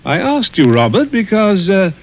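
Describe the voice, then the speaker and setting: An actor playing a suave, malicious older millionaire in a 1940s radio mystery, with silky, taunting, deliberate speech. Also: male voice, high voice, big pitch swings. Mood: taunting, sly. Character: suave, malicious, wealthy older stepfather plotting murder. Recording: muffled vintage 1940s radio recording.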